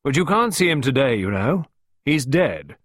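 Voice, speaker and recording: A man voicing a robust, blunt woman gardener in an audiobook, with a hearty, brisk manner. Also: mid-range voice, big pitch swings, fairly bright tone, breathy edge, no room sound, voice pushed up.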